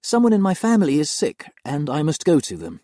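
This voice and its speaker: A man's voice: a little high, wildly swooping pitch, a little fast, dark tone, dry recording, studio quality, voice pushed up. A man voicing a frightened young woman nanny, pleading earnestly through tears.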